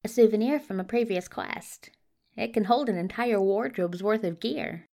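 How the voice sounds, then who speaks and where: A woman's voice: medium pitch, moderate pace, vocal fry, dark tone, close to an everyday voice. A woman voicing a polite, agreeable male hero of the gentry, genteel and a little hesitant, in an audio drama. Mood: pleased, conspiratorial. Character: polite, agreeable, slightly flustered gentleman hero.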